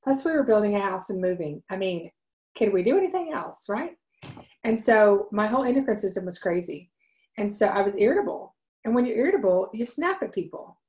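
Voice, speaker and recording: A woman's voice: medium pitch, big pitch swings, a little fast, poor audio. A woman in her forties hosting a wellness livestream from home, telling a personal story earnestly with many ums and restarts, speaking into a webcam. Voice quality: loud voice. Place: home room, indoors. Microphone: webcam at desk.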